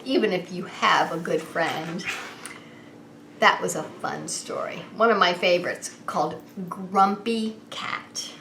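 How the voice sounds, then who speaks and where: A woman reading a picture book aloud to young children as a preschool story time host, expressive and unhurried, drawing the listeners in. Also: fairly low pitch. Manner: animated, unhurried, asks the child listeners questions. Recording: roomy echo with faint background noise.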